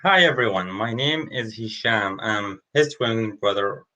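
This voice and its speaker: A man's voice: fairly low pitch, animated, a little slow, pushed and bright, muffled recording. A man from Syria, co-hosting, direct with a light joke, introducing a guest. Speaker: co-host. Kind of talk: scripted introduction.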